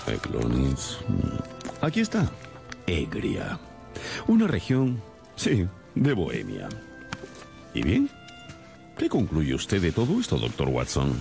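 A brilliant, condescending detective from a radio drama. The voice is low with a creaky edge and leaps theatrically up and down as he lectures. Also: full character voice. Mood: probing, deductive. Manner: theatrical, didactic, pompous.

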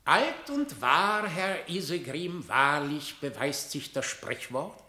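An actor playing a sly, bold badger advocate, speaking verse in a deliberate, pointed manner in a radio drama. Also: male voice, on the high side, sharp tone, roomy sound, exaggerated voice. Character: sly, bold male badger defending his kin.

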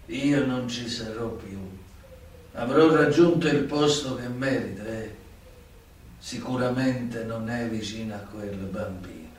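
An actor playing an old, humble, repentant male mobster turned informant in Italian radio theatre, halting, plain-spoken and grave. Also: mid-range voice, a little hiss or hum, close to an everyday voice. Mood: humble, fervent. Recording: very echoey, distant, faint music behind.

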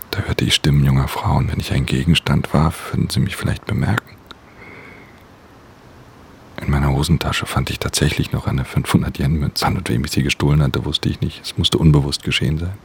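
Very deep, gravelly, hushed pickpocket. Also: male voice, a little hiss or hum. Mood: weak, grimly determined. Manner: hushed, breathy, inward first-person narration. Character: weary male pickpocket, wounded, recounting his own downfall. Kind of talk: radio play narration.

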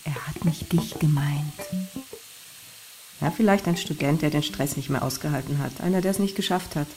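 An actress playing a no-nonsense academic girlfriend and mother, matter-of-fact and gently mocking, in a German radio crime drama. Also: sits low, moderate pace, soft background music. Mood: skeptical, concerned.

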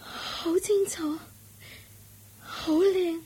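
A woman's voice: very high voice, fairly even intonation, extremely slow, full character voice, rough recording. A woman playing a naive young servant girl. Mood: dreamy. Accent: Cantonese. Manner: dreamy, childlike, soft-spoken. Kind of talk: 1980s Cantonese radio drama acting.

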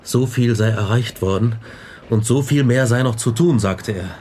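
An actor playing an earnest, brooding young scientist in a radio drama, speaking with measured intensity. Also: male voice, medium pitch, warm tone, close to an everyday voice. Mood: brooding, reflective. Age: young adult. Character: earnest, brooding young male scientist recounting his doom. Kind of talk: radio drama narration and dialogue. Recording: clear background noise and ambience.

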